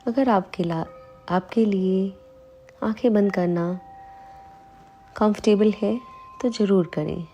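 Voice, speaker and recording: Slow, mellow woman guiding a meditation. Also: mid-range voice, muffled recording, soft background music. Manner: calm, soothing, unhurried instruction with long pauses. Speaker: meditation guide. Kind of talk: slow guided meditation in Hindi.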